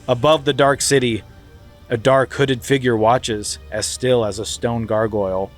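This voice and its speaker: A man's voice: mid-range voice, steady tone, a little slow, soft background music. A man hosting a podcast, reading a screenplay aloud in a mock-dramatic comedic way. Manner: mock-dramatic, breaking into laughter. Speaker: podcast host.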